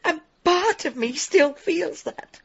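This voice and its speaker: A woman's voice: very high-pitched, lively intonation, slow, highs cut off, full character voice. An actress playing a grieving, guarded middle-aged village mother in a radio drama, brittle and emotional. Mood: grief-stricken.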